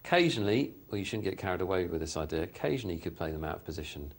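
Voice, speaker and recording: Low-voiced poker commentator. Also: male voice, animated, very fast, slightly rough. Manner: chatty, self-mocking, hesitant with fillers. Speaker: poker show host and commentator. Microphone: studio mic.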